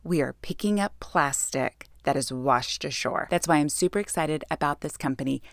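A woman host reading a sponsor message in an upbeat, brisk way. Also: low voice, creaky, no echo.

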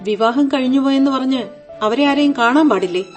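Actress as a high-voiced, haughty young wife. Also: female voice, fairly quick, a little mellow, faint music behind, natural voice. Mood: defiant, scornful. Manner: coquettish, haughty, drops in English phrases. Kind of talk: Malayalam radio play acting.

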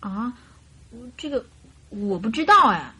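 An actress playing a chatty, naive and carefree young bride in a radio drama, with girlish, animated complaining and coaxing. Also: fairly high pitch, slow pace, breathy edge, exaggerated voice. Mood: open, naive.

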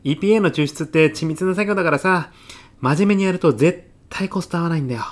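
A man's voice: a little high, quick pace, natural voice. A man playing a mild, self-effacing classmate in his thirties with a sly salesman's streak, speaking politely in a comedy radio drama. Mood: casually expert. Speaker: actor in an audio comedy sketch.

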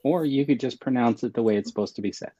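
A man's voice: medium pitch, fast, highs cut off, a crowd in the background. A man co-hosting a live stream who teases and insists in a casual group chat. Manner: teasing, insistent.

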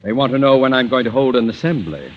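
An American actor playing a pompous, irascible middle-aged school principal in an old-time radio comedy, blustering with exasperation. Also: male voice, mid-range voice, expressive, quick pace, natural voice. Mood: exasperated. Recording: muffled vintage radio recording, faint noise.

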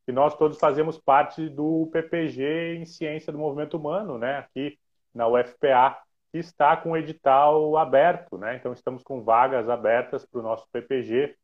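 A man's voice: on the high side, normal speed. Chill podcast host guy, teasing his guests, audio a bit muffled. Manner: relaxed, teasing, many fillers. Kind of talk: casual conversational podcast.

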